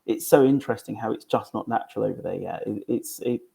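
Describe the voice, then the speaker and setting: A man's voice: on the low side, quick pace, gravelly, dark tone. A man hosting a webinar in casual, conversational talk, often repeating his phrases as he goes. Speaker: webinar host. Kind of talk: casual chat.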